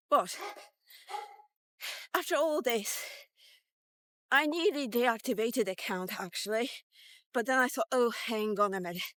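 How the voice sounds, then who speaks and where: A woman in her fifties with a fairly high voice talks in a casual vlog style. She rambles bluntly and swears freely as she vents. The sound is dry, with no room echo. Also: a bit bright. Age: 50s. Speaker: vlogger. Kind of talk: casual rant to camera while walking.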